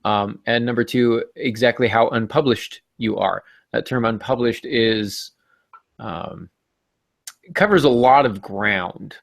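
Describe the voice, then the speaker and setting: A man's voice: mid-range voice, takes it slowly, creaky, no echo. Playful male podcast host, close dry sound. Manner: playful, tongue-in-cheek. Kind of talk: casual, joking podcast talk.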